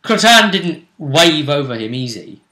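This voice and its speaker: A man's voice: on the high side, very animated, measured pace, bright, forceful tone. A man hosting a tabletop role-play tournament show, talking casually as he comments and speculates.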